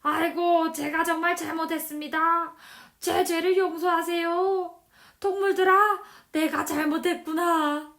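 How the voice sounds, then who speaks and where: A woman voicing a greedy, blustering man in a children's storybook, with loud, exclamatory delivery. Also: very high voice, steady tone, a little slow, pitched above the performer's own voice, exaggerated voice. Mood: pleading, remorseful. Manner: loud, exclamatory, wailing pleas. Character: greedy, blustering man who panics and begs. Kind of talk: children's storybook character acting.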